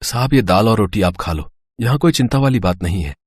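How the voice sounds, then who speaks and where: A man's voice: on the low side, lively intonation, brisk, husky, dry recording, studio quality. Man acting a worried, poor eatery owner. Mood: humble, kind. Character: poor, worried, kind-hearted male roadside eatery owner. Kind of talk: dramatised moral story acting.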